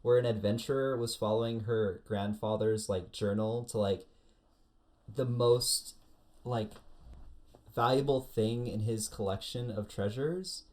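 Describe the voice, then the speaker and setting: Animated man chatting on a comedic podcast. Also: on the low side, a little slow. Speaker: podcast co-host. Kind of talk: casual comedic podcast chat about anime.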